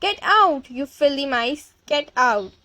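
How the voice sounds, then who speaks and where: A woman's voice: high voice, lively intonation, measured pace, fairly bright tone, no room sound. A woman voicing alarmed, bewildered children and teachers from a storybook, exclaiming with melodrama. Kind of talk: storybook character voices.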